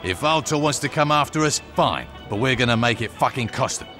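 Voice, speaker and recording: A man's voice: medium pitch, animated, brisk, sharp tone, faint music behind. A man acting as a tough street gang boss in a video game, blunt, terse and commanding.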